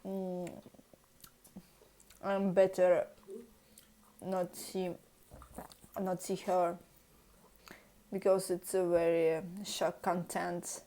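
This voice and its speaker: Woman, Russian accent, very slow, hesitant, searching for words, lots of fillers. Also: fairly low pitch, level tone, mellow. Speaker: vlogger. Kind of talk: halting casual talk in a second language.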